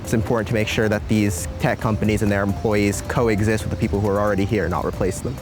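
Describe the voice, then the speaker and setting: A man's voice: lowish voice, little pitch movement, fast talker, over music. A man giving a casual, thoughtful opinion in an interview recorded outdoors in a city.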